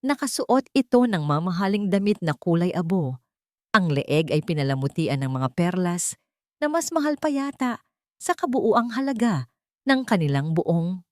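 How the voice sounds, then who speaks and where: Female Filipino audiobook narrator: low register, dramatic storytelling, very dry close sound. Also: darkish tone, no room sound.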